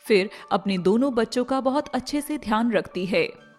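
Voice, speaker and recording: A woman's voice: on the high side, expressive, normal speed. A woman narrator reading a scripted moral story. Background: faint music.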